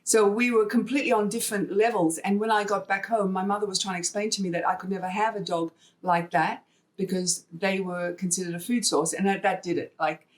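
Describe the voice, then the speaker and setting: A woman's voice: medium pitch, fairly even intonation, brisk. A woman from Hong Kong chatting in an interview, telling anecdotes and acting out bits of remembered dialogue. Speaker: podcast guest, artist and wildlife fundraiser. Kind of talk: conversational storytelling interview.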